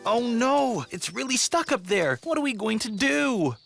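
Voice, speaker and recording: An eager young cartoon animal, playful and excitable. The voice is high and very lively, full of exclamations. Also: female voice, unhurried, vocal fry, faint music behind, full character voice. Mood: worried. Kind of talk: children's cartoon acting.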